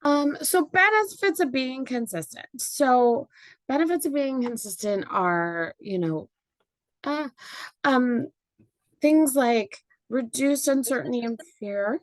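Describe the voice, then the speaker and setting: A slightly hoarse woman facilitating a parenting workshop, speaking chattily with fillers and frequent "right?" tags. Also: sits high, expressive, slow, no echo. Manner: chatty, with many fillers and tag questions. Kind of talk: informal workshop presentation. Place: meeting room with live audience.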